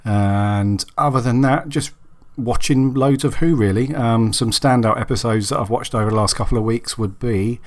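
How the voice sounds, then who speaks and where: A man hosting a solo podcast who sounds raspy and husky from a cold. He chats casually and rambles, with many ums and restarts. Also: mid-range voice, moderate pace, hardly any pauses. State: hoarse from a cold. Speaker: podcast host.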